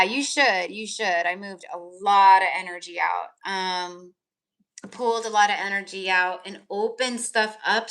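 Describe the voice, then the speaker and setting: A woman's voice: mid-range voice, a bit bright. A woman tarot reader chatting casually on a live stream, rambling with lots of fillers, her voice tired and crackly. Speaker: tarot reader and energy healer.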